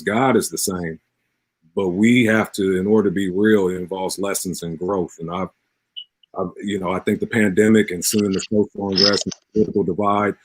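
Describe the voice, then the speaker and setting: A man's voice: low-pitched, breathy edge, no echo, low-quality recording. A man in his forties, a pastor speaking earnestly as an interview guest, repeating phrases for emphasis in a preacher-like way. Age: 40s.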